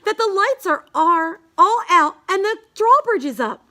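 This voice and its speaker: Female storybook reader, extremely high voice, unhurried singsong delivery of children's verse. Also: very animated, pushed and bright. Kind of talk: read-aloud of a rhyming children's picture book.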